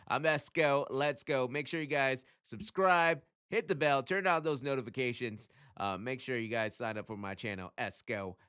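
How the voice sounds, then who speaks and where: A man with a bright, forceful voice and clean, smooth tone hosts a casual explainer. His delivery is conversational and emphatic, at a medium pitch. He punctuates his points with rhetorical questions and short check-ins. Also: moderate pace, hardly any pauses. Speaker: music radio commentator hosting his own channel. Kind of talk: casual explainer talk to camera.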